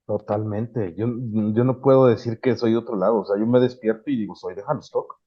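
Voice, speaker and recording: A man's voice: fairly low pitch, fairly even intonation, fairly quick, slightly rough, highs cut off. A man from Morelos with a Mexican Spanish accent, a podcast guest talking earnestly with many restarts. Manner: earnest, with frequent restarts and hesitations. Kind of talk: casual interview conversation.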